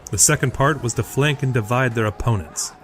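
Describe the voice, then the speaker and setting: A man's voice: mid-range voice, fairly quick, a bit bright. A man reading scripted narration for a fantasy audio drama.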